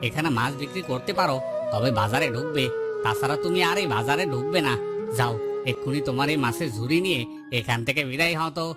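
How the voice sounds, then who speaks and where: A man's voice: mid-range voice, fast, loud music behind the voice, natural voice. A man voicing a stern, bossy and dismissive village market enforcer in a cartoon story. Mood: stern, commanding. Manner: bossy, curt. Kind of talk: Bengali moral story cartoon dubbing.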